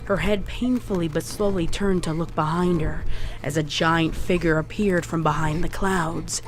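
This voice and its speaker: A woman narrating a scripted horror story in a deliberate, dramatic way. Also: fairly low pitch, fairly even intonation, slight background noise.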